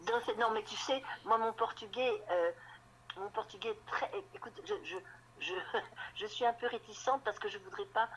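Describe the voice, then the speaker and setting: A woman novelist being interviewed on radio, talking in a halting way and often repeating words while she looks for them. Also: fairly high pitch, a bit bright, a little hiss or hum, poor audio. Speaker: novelist guest.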